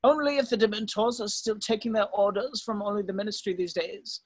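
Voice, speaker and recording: Wise old wizard advocate read by a man, notably high voice, dry close sound, polite and measured. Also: steady tone, no echo. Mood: pointed. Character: wise, calm, courteous old wizard headmaster defending the accused. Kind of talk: amateur online table read of a screenplay.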